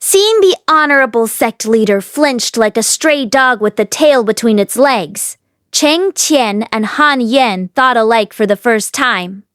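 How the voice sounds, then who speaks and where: Female audiobook reader, higher-pitched voice, scripted novel narration, dry close sound. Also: expressive, measured pace, a bit bright, no echo.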